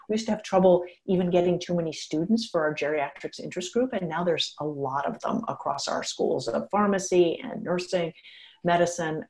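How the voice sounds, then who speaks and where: A woman in her fifties, a geriatrician and author, answering interview questions frankly and with energy, laughing now and then as she talks. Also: on the low side. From United States. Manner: frank, animated, laughs while talking.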